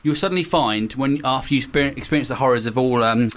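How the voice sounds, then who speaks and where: A man co-hosting a comedy talk show, cracking deadpan absurd jokes in casual banter. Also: medium pitch, fast. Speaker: co-host. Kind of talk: comic banter.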